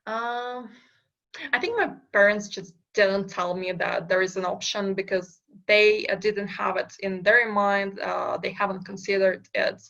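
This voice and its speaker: A woman's voice: mid-range voice, fairly even intonation, measured pace, creaky, fairly bright tone, dull audio. A young woman from Kazakhstan, a startup founder answering interview questions in a hesitant way with many fillers and restarts. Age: young adult. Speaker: startup founder, podcast guest.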